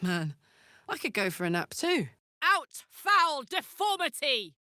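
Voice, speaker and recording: A woman playing a big, horned hellspawn warrior in a video game, brash and casual, warm-hearted, playful and hot-tempered. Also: sits high, sing-song, slow, no echo, studio quality, exaggerated voice. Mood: earnest, friendly, then battle-ready. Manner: brash, casual, emotionally open. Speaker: video game character voice actor. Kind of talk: expressive video game voice acting.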